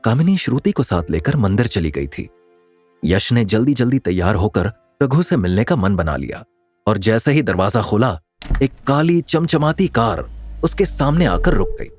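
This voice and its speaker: A man's voice: on the low side, very animated, normal speed, darkish tone, faint music behind. A man narrating a scripted audio drama in a dramatic storytelling style.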